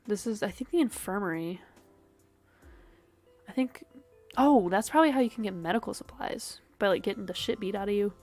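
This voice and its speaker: A woman's voice: medium pitch, fairly quick, long pauses, a little mellow. Woman streaming a game, bit sniffly and dry-throated, talks to herself, swears a lot, faint music behind. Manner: thinks aloud, swears casually, muttering asides. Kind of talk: casual game livestream commentary.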